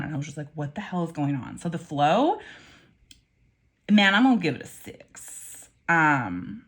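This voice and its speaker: A woman's voice: low voice, a bit bright. A woman reviewing pens and inks in a casual vlog, chatty and rambling, with many ums, self-corrections and wry asides. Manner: wry, mildly swearing asides. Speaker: pen and ink reviewer.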